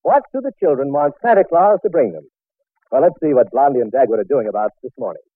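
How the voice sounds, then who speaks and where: A man's voice: medium pitch, animated, fast, slightly rough, no room sound. A man with an American accent announcing a scripted 1940s radio show. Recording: muffled, narrow-band old radio recording.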